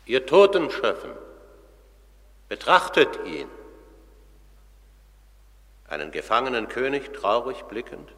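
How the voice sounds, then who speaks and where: An actor playing the solemn narrator of a German radio play, declaiming deliberately. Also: male voice, medium pitch, very animated, a bit bright. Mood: declamatory. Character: solemn narrator of the trial of the dead. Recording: long reverberant hall.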